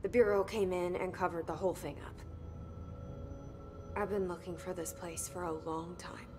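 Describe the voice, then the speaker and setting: A woman's voice: on the low side, steady tone, normal speed, music in the background, natural voice. An actress playing a guarded, determined young woman in a video game, speaking tersely in short natural phrases. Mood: serious, resolved. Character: Jesse, guarded young woman, new bureau director, determined.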